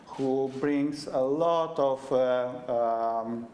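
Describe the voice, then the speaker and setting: Male host, Romanian first language, halting delivery full of fillers, echoey hall recording. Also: mid-range voice, a little mellow, a little hiss or hum. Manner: halting, many fillers and restarts. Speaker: event host introducing the book. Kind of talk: opening remarks at a book launch. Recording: echoey lecture room.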